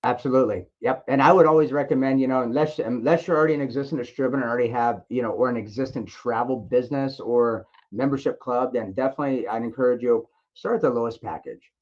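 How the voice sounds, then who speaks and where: Male call host, encouraging sales trainer, mid pitch, repeats himself, lo-fi conference line sound. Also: fast, hardly any pauses, a little mellow. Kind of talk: sales call question-and-answer. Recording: dull lo-fi conference call audio.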